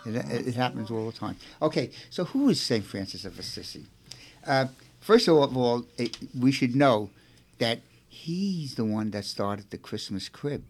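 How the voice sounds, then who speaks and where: A man's voice: medium pitch, big pitch swings, measured pace, creaky, slight background noise. A man with a Brooklyn accent hosting a religious radio show, telling a saint's story in a chatty way that often repeats itself. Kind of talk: casual radio chat and storytelling.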